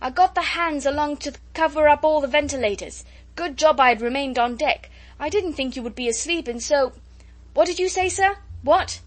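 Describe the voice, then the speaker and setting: A woman voicing an agitated male ship's officer in an audiobook, yelling in clipped bursts as if over a gale. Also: sits high, normal speed, pitched above the performer's own voice, lightly acted. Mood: strained shouting.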